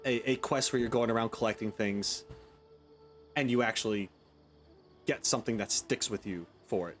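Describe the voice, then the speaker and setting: A man giving casual gameplay commentary, thinking aloud in a rambling, tentative way. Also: on the low side. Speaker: gaming content creator.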